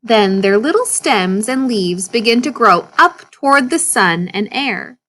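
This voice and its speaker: A woman with a fairly high voice reads a picture book aloud. She takes her time, going very slowly through short sentences. Her delivery is expressive storytelling aimed at young children. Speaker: story reader.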